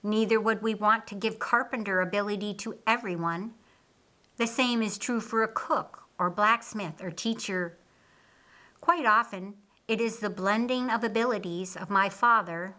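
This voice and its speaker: A woman's voice: medium pitch, sharp tone. A woman reading scripted story narration for an audiobook. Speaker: audiobook reader.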